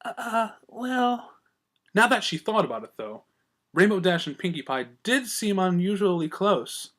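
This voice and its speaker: A man reading fiction aloud as a storyteller, with expressive scripted narration. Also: sits high, normal speed. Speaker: story reader.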